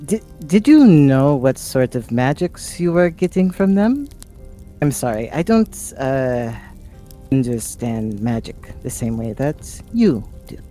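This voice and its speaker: A player acts out a wandering fantasy sky cowboy in a tabletop audio drama. The voice is medium-pitched and unhurried, halting and guarded yet kindly. Soft music runs behind it. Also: sing-song. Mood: awkward, curious. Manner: hesitant, halting.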